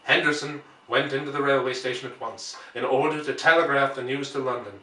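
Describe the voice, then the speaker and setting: Male audiobook reader, mid-pitched and deliberate, scripted dramatic narration, echoey room. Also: steady tone, bright, forceful tone. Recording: roomy, distant microphone.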